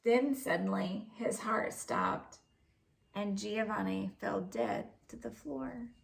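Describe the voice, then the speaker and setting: Female storybook narrator, very gravelly fry, unhurried and expressive delivery. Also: medium pitch, slight background noise, rough recording. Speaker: storybook reader. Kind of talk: scripted narration, children's story read aloud.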